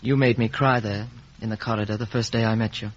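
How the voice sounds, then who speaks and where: An actor playing an earnest young gentleman who also narrates, in an old radio drama. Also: lowish voice, fairly even intonation, fast, natural voice. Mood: wistful. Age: young adult. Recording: muffled vintage radio broadcast recording.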